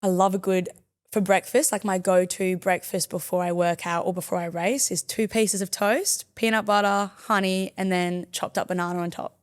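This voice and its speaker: A woman's voice: medium pitch, little pitch movement, normal speed. A young woman born in the United States, chatting as a guest on a podcast in an upbeat, easygoing way and laughing often. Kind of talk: casual interview chat. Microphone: studio podcast mic.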